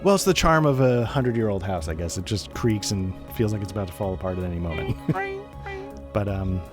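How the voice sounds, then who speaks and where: A man's voice: lowish voice, huge pitch swings, a little fast, music in the background. A man hosting a tabletop game podcast, rambling casually and laughing often as he wraps up a session from home. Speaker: game master and podcast host. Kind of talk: casual post-session chat.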